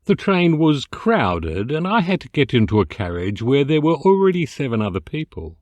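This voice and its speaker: Animated male storyteller, theatrical delivery. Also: mid-range voice, dry recording. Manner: theatrical, expressive, mock-dignified. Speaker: amateur voice actor reading an audiobook excerpt. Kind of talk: comic literary narration, storytelling.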